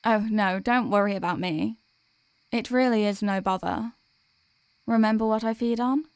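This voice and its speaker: A woman playing a gentle, protective sleep paralysis demon in an ASMR comfort roleplay, speaking in a soothing, tender, reassuring way. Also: mid-range voice, normal speed, smooth, no echo, close to an everyday voice.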